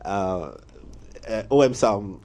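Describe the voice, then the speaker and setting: A man hosting a morning studio interview, telling stories in a lively way with laughs. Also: on the low side, takes it slowly. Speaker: morning show host.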